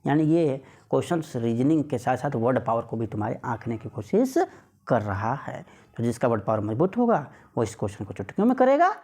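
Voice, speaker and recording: A man's voice: medium pitch, big pitch swings, brisk, darkish tone. A man teaching an informal exam lesson, repeating phrases and putting rhetorical questions to students. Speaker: maths exam coach.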